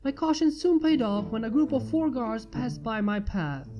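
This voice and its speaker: A woman's voice: on the high side, moderate pace. A woman reading a scripted story aloud as its narrator. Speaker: fan fiction reader. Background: music.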